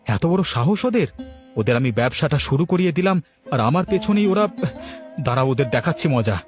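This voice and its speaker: A man plays a village husband in a moral cartoon, with a fairly high voice. He is concerned and quick to indignation, speaking emphatically over faint music. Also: lively intonation, lightly acted. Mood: indignant, determined. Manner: emphatic, exclamatory. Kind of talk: Bengali moral cartoon dialogue.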